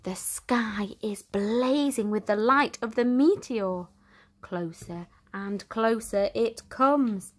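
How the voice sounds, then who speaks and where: A woman reading a children's storybook aloud in an expressive, theatrical way with deliberate emphasis. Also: fairly high pitch. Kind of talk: dramatic storytime narration for young children.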